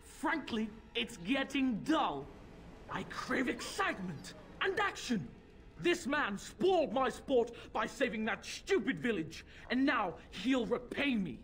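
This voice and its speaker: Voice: medium pitch, sing-song, slow, fairly bright tone, a little hiss or hum, full character voice. A performer voicing an imperious, cruel boy possessed by a demon, in a taunting, haughty manner for a fantasy game. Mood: bored, petulant.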